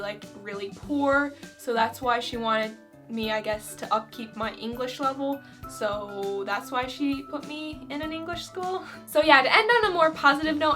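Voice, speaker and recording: A woman's voice: fairly high pitch, sharp tone. A young woman from Finland chatting casually to camera as a vlogger, filling her talk with "you know" and "like". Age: young adult.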